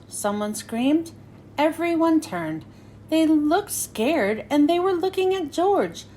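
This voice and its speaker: A woman reads a children's picture book aloud in a high, bright-pitched voice. She tells the story slowly with a sing-song, expressive manner, her pitch swooping up and down on each line. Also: slight background noise.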